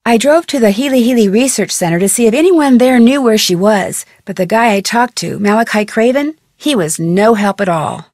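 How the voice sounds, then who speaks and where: Young american lady detective from a pc game, upbeat n earnest, kinda high voice, dry studio sound. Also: lively intonation, moderate pace, few pauses, a little mellow, no echo. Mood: earnest recounting. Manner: clear, earnest storytelling with wry asides. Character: upbeat, clever young female amateur detective. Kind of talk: scripted game narration.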